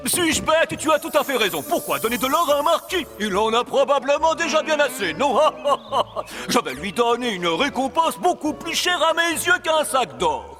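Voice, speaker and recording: A man's voice: very high-pitched, normal speed, few pauses, exaggerated voice. A man voicing a pompous, jovial, doting old fairy-tale king in a French children's cartoon. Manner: pompous, excitable. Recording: long hall reverb, faint music.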